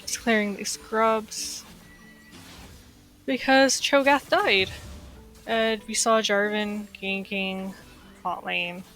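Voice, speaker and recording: A woman's voice: mid-range voice, steady tone, slow, long pauses, a bit bright, soft background music. A woman with a slightly nasal, stuffy voice from being sick, chatting casually over her gameplay with lots of fillers. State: recovering from illness, slightly stuffy. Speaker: gaming streamer.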